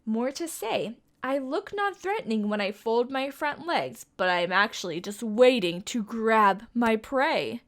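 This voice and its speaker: A woman's voice: fairly high pitch, animated, measured pace, few pauses, a bit bright. A young woman reading a picture book aloud to children, with a sing-song, coaxing way of asking the listeners questions. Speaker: children's storytime reader.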